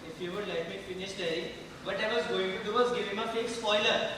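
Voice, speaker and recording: A man acting in an amateur stage play as an earnest teenage friend, speaking haltingly and deliberately with pauses. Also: high voice, steady tone, noisy background, close to an everyday voice. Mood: defensive. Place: large indoor theater hall stage. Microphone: distant camera or room microphone. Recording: echoey hall from far off.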